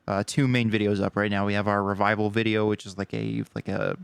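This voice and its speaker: A young man co-hosting a podcast, chatting casually in a relaxed, rambling way with many fillers. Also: lowish voice, fairly quick, gravelly, a little hiss or hum. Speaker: podcast co-host. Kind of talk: casual chat.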